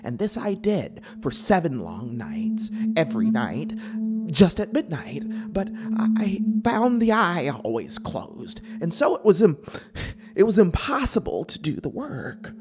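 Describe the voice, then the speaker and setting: Deranged gothic killer, wildly swooping. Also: female voice, on the low side, very animated, pitched above the performer's own voice. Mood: gleeful, agitated. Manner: theatrical, halting, lapsing into whispers and giddy bursts. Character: deranged killer insisting on sanity, gleefully cunning. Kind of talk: dramatic gothic horror audiobook reading. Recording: synthesizer music under the voice. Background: horror synth soundtrack.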